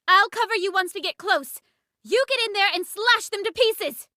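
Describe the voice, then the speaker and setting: A woman's voice: very high voice, moderate pace, pushed and bright, dry recording, clean studio sound. A woman playing a caring, decisive android squad leader in a game, with a direct, commanding manner. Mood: urgent, commanding. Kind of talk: anime-style game voice acting.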